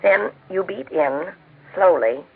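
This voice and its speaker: An American woman with a genteel, measured, instructive manner, speaking scripted homemaking talk as host of a 1940s radio cooking show. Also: on the low side, animated, gravelly, breathy edge. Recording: tinny band-limited 1940s radio recording.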